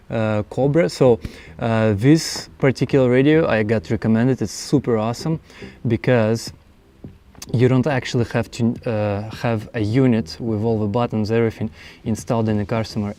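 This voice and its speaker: Mellow-voiced man giving a casual walkthrough. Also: medium pitch. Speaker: host of a truck build how-to video. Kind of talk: casual unscripted hands-on walkthrough.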